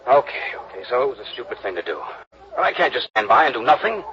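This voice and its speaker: A man's voice: mid-range voice, sing-song, fast talker, close to an everyday voice. Man acting as a nervous stammering fiancé, voice jumping all over, old muffled radio sound. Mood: anxious. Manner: flustered, stammering, insistent. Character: worried young man, defensive fiancé. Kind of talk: 1950s radio drama acting.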